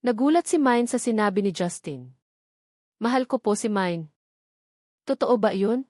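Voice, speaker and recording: Woman narrator for a romance audio drama, measured and emotive. Dry, close sound. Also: mid-range voice, a little mellow, no echo. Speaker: storyteller.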